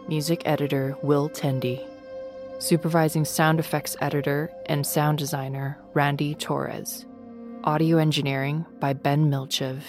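A woman reading scripted podcast credits as an announcer. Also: low-pitched. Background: prominent music.

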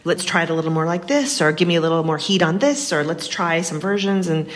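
A woman's voice: fairly low pitch, quick pace. A woman writer talking as an interview guest, discursive and enthusiastic in casual conversation.

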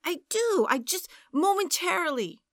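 A woman's voice: very high voice, big pitch swings, unhurried, fairly bright tone, dry recording, studio quality, pitched above the performer's own voice, heavily acted. A woman voicing a defensive young man who apologizes while brushing off complaints. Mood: dismissive. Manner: apologetic then dismissive, wheedling excuses. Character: defensive young adult man, sheepish boyfriend.

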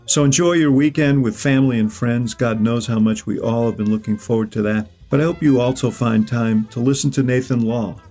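A man's voice: mid-range voice, steady tone, a little fast, hardly any pauses, warm tone, soft background music. Earnest American man hosting an interview. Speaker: podcast host. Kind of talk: interview podcast.

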